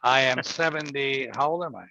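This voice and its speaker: A man's voice: mid-range voice, steady tone, moderate pace, bright, forceful tone. A man in his seventies hosting a casual call-in chat, talking in a folksy, rambling, emphatic way. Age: 70s. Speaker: host. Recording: muffled lo-fi audio with faint noise.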